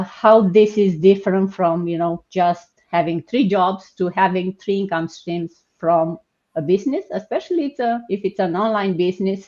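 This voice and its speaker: A woman's voice: fairly low pitch, darkish tone, dull audio. Chatty woman hosting a live stream. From Romania. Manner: chatty, hesitant, many fillers. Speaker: host. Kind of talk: live online panel hosting.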